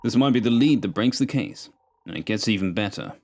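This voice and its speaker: A man voicing a forceful, ambitious police captain with a commanding, brisk manner, in an audiobook. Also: lowish voice, lively intonation, faint music behind.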